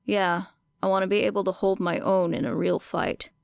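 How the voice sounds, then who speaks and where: A woman reader voices a bored teenage boy in an audiobook. He is guarded and defensive, speaking in a flat, matter-of-fact way. The sound is clean and dry. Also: mid-range voice, moderate pace, gravelly, no echo, natural voice. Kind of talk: audiobook character dialogue.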